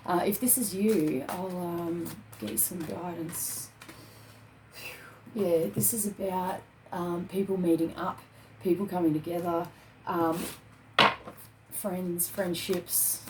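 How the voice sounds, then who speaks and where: A woman's voice: on the low side, fairly even intonation, slow pace, pauses often, background noise. A woman in her thirties giving a casual tarot reading to camera at home, filling her talk with "okay" and "um". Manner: chatty, repeats "okay" and "um" often. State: hoarse, losing her voice. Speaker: tarot card reader. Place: small home room with bookshelves.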